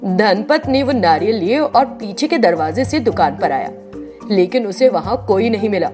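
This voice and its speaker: A woman's voice: mid-range voice, animated, moderate pace, music in the background. A woman narrator reading a scripted children's tale in a storytelling style.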